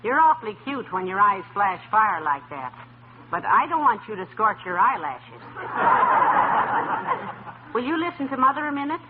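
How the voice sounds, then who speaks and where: An actress playing a sensible, affectionate middle-aged housewife, dryly teasing, in 1940s radio comedy style. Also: female voice, mid-range voice, sing-song, moderate pace, pauses often, narrow, tinny audio, natural voice. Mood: coaxing. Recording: thin old-time radio recording, faint noise.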